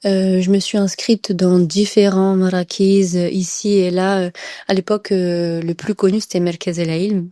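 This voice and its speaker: Soft mellow young Frenchwoman, hesitant storyteller. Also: lowish voice, little pitch movement, normal speed, no room sound, studio quality. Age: young adult. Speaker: podcast guest. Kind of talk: casual conversational storytelling.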